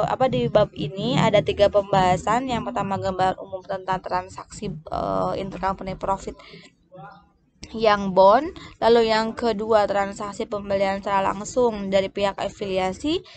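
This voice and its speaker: A woman with an Indonesian accent giving a recorded lesson step by step, in a halting way that often breaks off and restarts words. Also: medium pitch, flat delivery, a little mellow. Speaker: accounting lecturer. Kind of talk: recorded lecture, explaining step by step.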